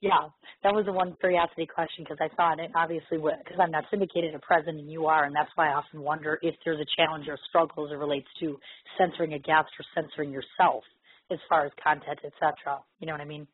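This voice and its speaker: A woman hosting a casual, chatty internet radio interview, her voice hoarse and husky from laryngitis. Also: low-pitched, level tone, quick pace. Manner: chatty, informal. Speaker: internet radio show host. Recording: muffled, lo-fi radio connection.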